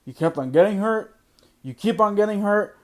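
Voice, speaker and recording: A man with a high voice hosts a sports podcast and rants bluntly, hammering his points home by repeating them. His voice is going hoarse because he is losing it. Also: animated, normal speed. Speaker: podcast host. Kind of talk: opinionated sports podcast monologue.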